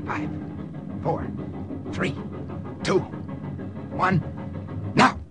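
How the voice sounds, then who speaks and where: An actor playing a terse, commanding American secret agent in an old radio drama. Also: male voice, very slow, over loud music. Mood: tense, commanding. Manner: clipped, commanding. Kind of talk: 1950s radio drama acting. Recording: noisy vintage radio drama recording.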